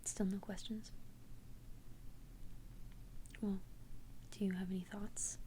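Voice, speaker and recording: A woman whispering in a breathy, gentle, hesitant way, confiding as a friendly vampire best friend in an ASMR roleplay. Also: medium pitch, flat delivery, normal speed, slight background noise, low-quality recording. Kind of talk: whispered ASMR roleplay, one-sided conversation. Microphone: close ASMR microphone.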